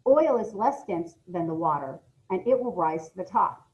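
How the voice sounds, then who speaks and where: A woman's voice: fairly low pitch, animated, moderate pace, a little mellow. American woman teacher, dull lo-fi recording. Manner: explanatory, addresses students directly. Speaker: middle school science teacher. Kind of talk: read-aloud lesson with informal explanations.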